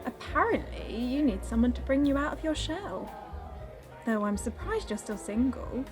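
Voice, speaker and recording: A fairly high-pitched woman in a radio drama, teasing and flirty on the surface but confessional and insecure underneath. Music plays clearly behind the voice. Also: sing-song, normal speed.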